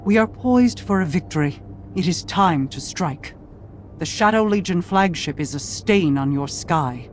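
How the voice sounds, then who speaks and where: A woman's voice: lowish voice, lively intonation, a little slow, slight background noise. A woman voicing a regal warrior queen in a video game, formal and commanding, with grand, solemn delivery. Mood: resolute. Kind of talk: video game cutscene acting.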